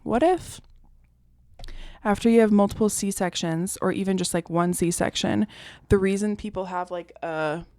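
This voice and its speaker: Woman podcast co-host. Casual storyteller, filler-heavy, laughs a lot. Mid pitch, dry close sound. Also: measured pace, a little mellow, no room sound. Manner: chatty, many fillers, bursts of laughter.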